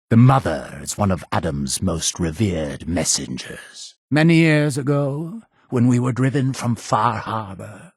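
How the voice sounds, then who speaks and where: An actor playing a zealous, imperious male cult leader in a video game, speaking in solemn, deliberate, preacher-like oratory with a gravelly, husky voice. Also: deep voice, no room sound, clean studio sound, exaggerated voice. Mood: stern, guarded. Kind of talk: video game character dialogue.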